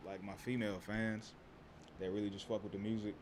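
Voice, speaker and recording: A man with a bassy voice, a rapper from Texas, talking in a laid-back, slangy way in a casual interview. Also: flat delivery, a little fast, noisy background. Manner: laid-back, slangy, keeps saying "you know what I'm saying". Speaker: rapper guest.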